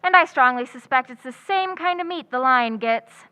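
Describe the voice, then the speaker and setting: A high-pitched, bright voice for a wry, lovelorn schoolteacher acted by a woman. She delivers dry wisecracks in the theatrical style of an old radio sitcom. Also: lively intonation, moderate pace, clear, resonant tone. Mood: wryly resigned. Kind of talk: old-time radio comedy acting.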